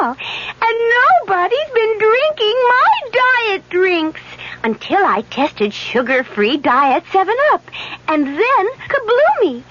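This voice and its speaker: An actress playing a perky fairy-tale Goldilocks in a vintage radio commercial, with a bubbly, sing-song delivery. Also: female voice, high-pitched, fairly bright tone, full character voice. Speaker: commercial character. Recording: dull vintage radio broadcast.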